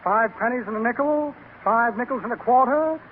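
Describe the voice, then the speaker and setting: A man's voice: very high-pitched, normal speed. A man playing a pompous, shifty amateur magician with grandiloquent, evasive patter in 1930s radio comedy style. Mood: showy, boastful. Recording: tinny, noisy 1930s radio recording.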